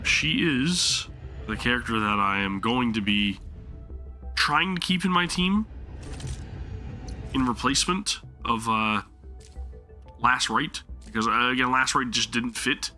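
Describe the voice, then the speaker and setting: A man's voice: medium pitch, a little slow, long pauses, sharp tone, faint music behind. A man streaming a game live, chatting casually while his sore throat makes him lose his voice, rambling and apologizing often. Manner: apologetic, rambling, many restarts.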